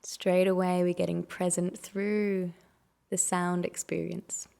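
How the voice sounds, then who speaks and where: A woman leading a guided meditation, giving gentle, unhurried instructions and soft invitations. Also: on the low side, little pitch movement, creaky.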